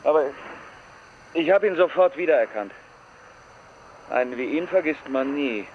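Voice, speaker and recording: An actor playing a haunted, wistful man speaking haltingly on an answering machine tape in a German crime radio play. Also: fairly high pitch, lively intonation. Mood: haunted, remembering. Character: haunted man leaving a taped phone confession. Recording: dull answering machine tape, faint car noise.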